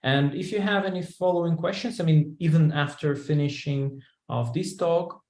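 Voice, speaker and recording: A man organizing an online information session, who speaks in a hurried way with stammering restarts. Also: fairly high pitch, darkish tone, echoey room. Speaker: program organizer.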